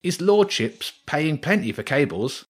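A man's voice: on the high side, very animated, a little slow, slightly rough, pitched above the performer's own voice, heavily acted. A man voicing a greedy, pushy male rat workman in a children's storybook, with a cocky, wheedling manner.

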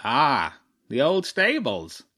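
A man's voice: medium pitch, wildly swooping pitch, slow, bright, forceful tone, voice pushed up, heavily acted. A man voicing a stern, shrewd old crow chairman in a storybook, speaking formally and pompously. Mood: shrewd, measured. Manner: pompous, clipped, formal pronouncements.

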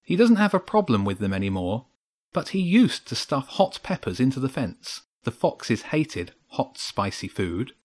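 A man's voice: mid-range voice, very animated, moderate pace, darkish tone. A man voicing a kindly, practical mother in a children's audiobook. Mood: helpful.

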